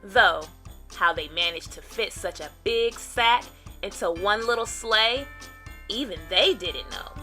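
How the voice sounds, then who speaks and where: A woman narrating a children's storybook in an expressive read-aloud style with deliberate phrasing. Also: medium pitch, faint music behind.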